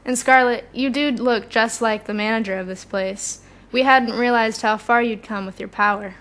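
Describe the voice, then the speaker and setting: A woman's voice: on the high side, normal speed, a bit bright, natural voice. A woman playing an eager, nerdy superhero sidekick in an audio drama, polite, chatty and earnest. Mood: eager, admiring.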